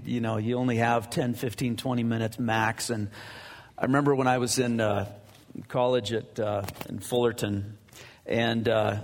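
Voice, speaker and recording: A man, a pastor leading a prayer and then teaching the Bible in a halting, conversational way with many fillers. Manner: conversational, anecdotal. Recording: boomy mic with long reverb.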